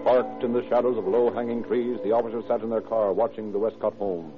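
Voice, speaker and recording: A man's voice: lowish voice, fairly even intonation, lightly acted. Man acting a businesslike radio crime narrator, muffled broadcast. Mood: measured narration. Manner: measured, businesslike, terse. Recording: band-limited old radio, music behind.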